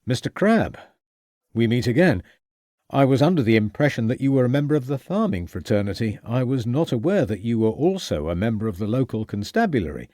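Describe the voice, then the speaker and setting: A man voicing a calm, courteous gentleman investigator in an audiobook mystery. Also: medium pitch, no echo, clean studio sound, lightly acted. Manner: measured, courteous.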